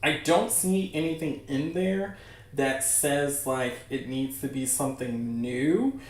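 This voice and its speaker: A man's voice: mid-range voice, slow. Man livestreaming in a very echoey room. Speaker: livestream host. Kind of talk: casual chat to a livestream audience. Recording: very echoey room, distant mic.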